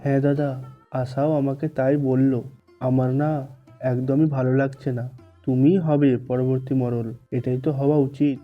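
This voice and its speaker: A man's voice: mid-range voice, little pitch movement, normal speed, lo-fi sound, soft background music, close to an everyday voice. A man playing a sly, ingratiating middle brother who shirks work, in a cartoon village family drama.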